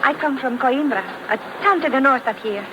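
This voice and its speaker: A woman's voice: sits high, moderate pace, lightly acted. An actress playing a polite young woman, speaking in careful, formal phrases in 1950s radio drama style. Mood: polite, friendly. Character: polite young Portuguese woman traveling home by train. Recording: noisy old 1950s radio recording.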